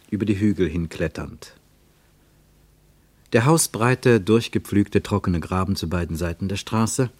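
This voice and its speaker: Male narrator, fairly low voice, dry studio, measured literary reading. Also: no echo. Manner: measured, descriptive, poetic. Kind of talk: scripted literary narration in a radio play.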